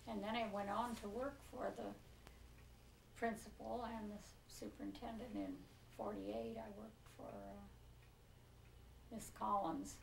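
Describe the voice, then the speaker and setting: A woman's voice: medium pitch, lively intonation, a little slow, vocal fry. Elderly American woman, roomy recording. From Pinole, California. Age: 70s. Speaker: oral history interviewee. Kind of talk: reminiscing in a relaxed interview. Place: living room, on a leather couch. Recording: roomy, distant mic with faint noise.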